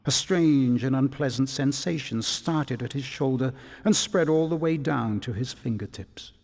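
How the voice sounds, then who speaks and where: A man narrating a storybook-style fantasy tale for a video game in a scripted, measured manner. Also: mid-range voice, gravelly, darkish tone. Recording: long hall reverb.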